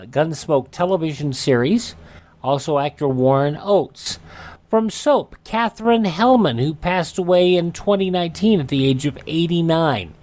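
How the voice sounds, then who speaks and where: Lively American male radio host. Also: on the high side. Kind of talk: presenting a this-day-in-history segment.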